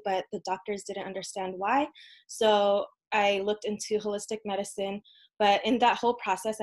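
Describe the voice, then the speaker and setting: A woman in her thirties, a podcast guest, telling a personal story in an earnest, casual way with frequent ums and soft laughs. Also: medium pitch. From United States. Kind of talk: casual interview conversation.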